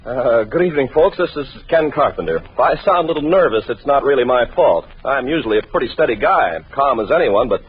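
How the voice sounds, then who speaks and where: A man's voice: medium pitch, expressive, fast, few pauses, background noise. A man announcing an old radio mystery show, with jovial, mock-nervous patter. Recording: muffled old radio recording, music under.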